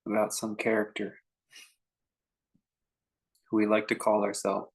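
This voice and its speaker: A man guiding a meditation in an informal, conversational way, speaking in short phrases with unhurried pauses between them. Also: lowish voice, completely flat delivery, gravelly. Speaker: meditation teacher leading a guided meditation. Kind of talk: unscripted guided meditation talk.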